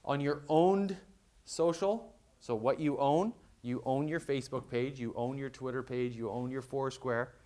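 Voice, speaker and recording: A man giving a conversational business presentation at a conference, explaining with quick everyday examples. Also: medium pitch, mellow. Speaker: conference speaker.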